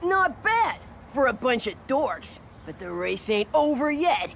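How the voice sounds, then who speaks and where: A cocky, slow-talking jock boy. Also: high voice, big pitch swings, creaky, very noisy, full character voice. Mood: scornful. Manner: sneering. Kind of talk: cartoon game voice acting. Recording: loud music behind.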